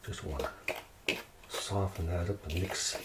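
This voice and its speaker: Distant noisy recording, deep-voiced man, unhurried ASMR cooking chat, talking to himself. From Quebec, Canada. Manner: unhurried, talking to himself, asking viewers questions. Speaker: home cooking host. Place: home kitchen. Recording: distant mic, clearly noisy, clicking sounds.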